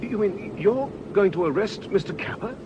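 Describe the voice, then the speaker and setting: An earnest British country vicar in a radio drama. His pitch moves in a lively way as he puzzles politely and hesitantly over things, behind clear recording noise. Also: normal speed, muffled recording. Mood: bewildered, protesting.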